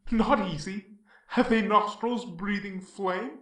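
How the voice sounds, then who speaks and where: A hearty male hero of Greek myth acted out by a man declaims verse with confidence. The tone is forceful and bright, heard from a distance in an echoing room. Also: high voice, fairly even intonation, slow. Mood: bold, confident. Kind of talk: classical verse drama reading. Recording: echoey room, off the microphone.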